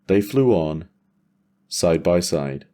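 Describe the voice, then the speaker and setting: A male narrator reads a scripted fantasy tale. His voice is very low and mellow, and he takes his time, measuring out short phrases with dramatic pauses. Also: expressive.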